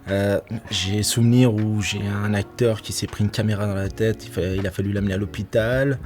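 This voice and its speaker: A man's voice: fairly low pitch, fairly even intonation, moderate pace, a little mellow, soft background music. A middle-aged man from Portugal, an actor, telling stories from film shoots in a casual interview, laughing often and restarting his sentences. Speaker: actor and nightclub security manager.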